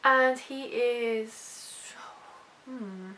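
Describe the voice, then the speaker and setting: A woman's voice: a little high, extremely slow, bright, forceful tone, slight background noise. A young woman with a British accent chatting casually and informally in a personal vlog, rambling a little and poking fun at herself. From United Kingdom. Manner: informal, rambling, self-deprecating. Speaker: YouTube vlogger. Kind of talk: casual personal chat to camera.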